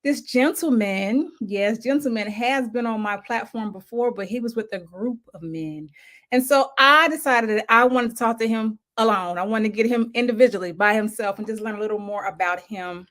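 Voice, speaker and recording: A woman from Ohio who hosts a casual interview show and talks in an upbeat, lively, enthusiastic way. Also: on the high side, moderate pace. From Cincinnati, Ohio, USA. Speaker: show host.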